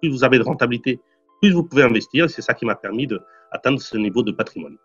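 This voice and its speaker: A man from Portugal in his forties answers questions earnestly as an interview guest, often stumbling over and repeating his words. His voice is husky and mid-pitched. The recording sounds slightly dull and lo-fi, with faint music behind. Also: lively intonation, fast, a bit bright. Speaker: real estate investor guest.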